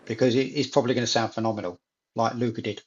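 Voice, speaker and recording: A man's voice: mid-range voice, steady tone, rapid-fire, lo-fi sound, slight background noise. A man in a casual chat, talking enthusiastically and repeating phrases for emphasis.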